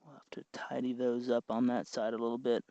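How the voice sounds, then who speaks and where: Male tutorial host, folksy and informal, mid-pitched voice, explains step by step on a slightly dull recording. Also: flat delivery, fairly quick, warm tone, a little hiss or hum. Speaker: host of a hobby electronics tutorial. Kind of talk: informal hands-on tutorial narration.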